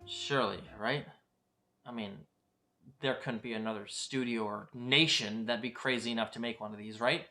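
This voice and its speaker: A man's voice: mid-range voice, steady tone, moderate pace, pushed and bright, faint music behind. A man giving scripted video-essay commentary in a sarcastic, informal, joking manner. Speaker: video reviewer and host.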